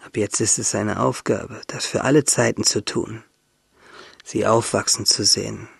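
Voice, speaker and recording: An actor playing a wistful, tender young shipwrecked seaman in a radio drama monologue, intimate and halting, with a husky voice. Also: lowish voice, fairly even intonation, dry recording, studio quality, exaggerated voice. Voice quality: husky, gravelly, breathy.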